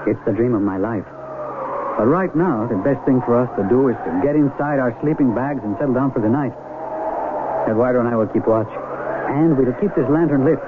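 A man's voice: mid-range voice, a little fast, natural voice. An actor playing an earnest male mountain guide in an old-time radio drama. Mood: steady, resigned. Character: earnest mountain guide, dedicated dreamer, dazed at times. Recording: tinny, very noisy old radio recording.

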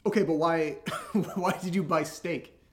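A man's voice: fairly even intonation, unhurried, natural voice. Exasperated, dry young American sidekick played by a man. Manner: dry, sarcastic, casual. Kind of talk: naturalistic comedic audio drama dialogue. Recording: noisy low-level home recording.

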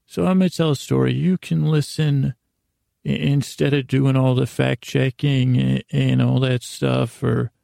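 Male sleep-podcast host: deep vocal fry, soft-edged mellow tone, meandering, self-deprecating, lulling delivery. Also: flat delivery, no echo, clean studio sound. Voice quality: creaky, gravelly. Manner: halting, meandering, repeats and rephrases himself; self-deprecating, gently silly. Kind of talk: rambling, lulling bedtime monologue.

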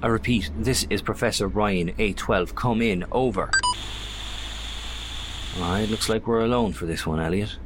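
A low, solemn man's voice from an actor as a professor surviving a nightmare world. He speaks formally and deliberately, like a horror audio drama, over heavy background sound. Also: close to an everyday voice. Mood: earnest, pensive. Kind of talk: audio drama acting, radio broadcast monologue and dialogue. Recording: noisy, with music or vehicle rumble behind.

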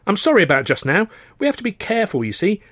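Man acting a fussy, high-pitched little old Englishman. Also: big pitch swings, fast, fairly bright tone, no echo, studio quality, heavily acted. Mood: fussy, friendly. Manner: eager, anxious, chattering.

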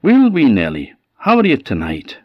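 A man voicing a doting, excitable old farmer's wife in a folk tale, fussing in a Scottish accent. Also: mid-range voice, normal speed, husky, no echo, highs cut off, pitched above the performer's own voice. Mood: doting, concerned.

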